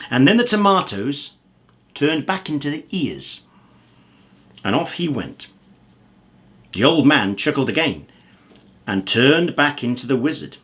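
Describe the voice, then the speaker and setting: A man reading a children's story aloud as an expressive storyteller. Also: mid-range voice, unhurried, long pauses. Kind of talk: animated children's story narration.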